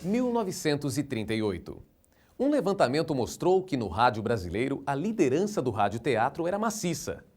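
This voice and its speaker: A man's voice: medium pitch, very animated, fairly quick, a little mellow. A man with a Brazilian accent reading scripted documentary narration.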